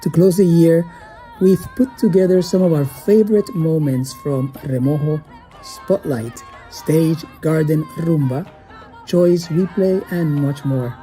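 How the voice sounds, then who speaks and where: Woman host, deep mellow voice, polished bilingual welcome over light music. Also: a little slow, poor audio. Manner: warm formal welcome, switching between Spanish and English. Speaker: theater company host. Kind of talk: scripted announcement.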